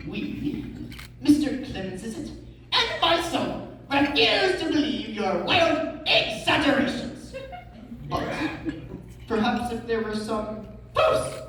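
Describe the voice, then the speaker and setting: A woman playing a prim, wistful and doubting traveler in a readers theatre show, halting and melodramatic. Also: a little high, very animated, fairly bright tone, exaggerated voice. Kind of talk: readers theatre stage acting. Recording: echoey room, distant mic, faint noise.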